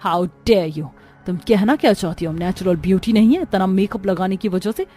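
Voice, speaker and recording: A woman's voice: medium pitch, normal speed, mellow, faint music behind, close to an everyday voice. A woman playing a haughty, defiant young city daughter-in-law in a cartoon drama. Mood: indignant. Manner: disdainful, defiant.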